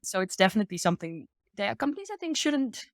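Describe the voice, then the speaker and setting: Female, mid-pitched voice, casual explanatory talk, informal, upbeat, dry sound. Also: very animated, quick pace, dry recording. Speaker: sustainability expert guest.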